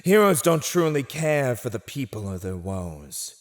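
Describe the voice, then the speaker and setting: An actor playing a silver-tongued, condescending fantasy villain who teases and mocks a prisoner in a scripted ASMR roleplay monologue. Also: male voice, mid-range voice, big pitch swings, a little slow. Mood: bitter mockery. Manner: theatrical, condescending, teasing.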